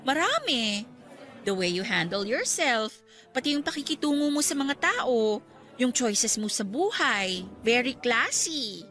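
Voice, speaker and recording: An actress playing a candid, cautious young schoolteacher being courted in a radio drama, chatting in a Filipino accent and mixing in English. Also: female voice, a little high, sing-song, a little slow, a bit bright, soft background music. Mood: pleased, teasing. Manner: conversational, mixing Tagalog and English.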